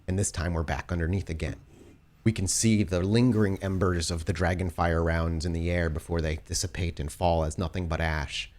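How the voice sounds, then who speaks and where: Deep-voiced man narrating with slow drama. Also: fairly even intonation, a little mellow. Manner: measured, descriptive, dramatic storytelling. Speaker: game master, storyteller of an actual play podcast. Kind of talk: improvised cinematic narration for a tabletop roleplaying game.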